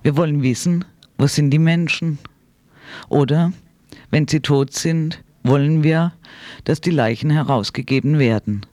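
A man's voice: on the high side, measured pace, darkish tone, no echo. Sober man reading news, dry close sound. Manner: sober, formal. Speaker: radio reporter. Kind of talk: scripted news narration.

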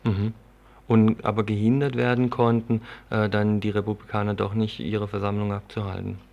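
A male radio presenter with a low voice and very even intonation. He delivers news reporting and puts interview questions in a plain, flat melody. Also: normal speed, gravelly, lo-fi sound.

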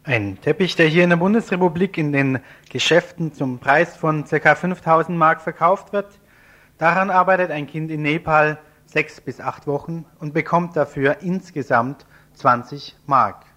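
A man's fairly high voice presents a radio news report. He narrates from a script as the reporter and interviewer, in an explanatory news style. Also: moderate pace, pushed and bright.

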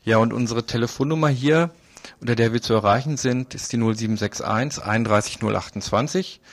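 A man presents a live radio news magazine. He has a medium-pitched voice and speaks partly from a script, partly freely. The sound is close and dry. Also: brisk, no echo.

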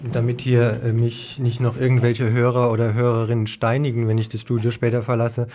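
A man's voice: mid-range voice, completely flat delivery, fairly quick, warm tone. A man presenting news on an informal community radio show.

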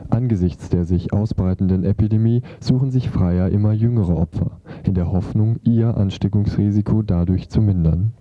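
A man's voice: on the low side, moderate pace, hardly any pauses, no room sound. A man presenting a scripted radio feature, reading it out on a dull lo-fi recording.

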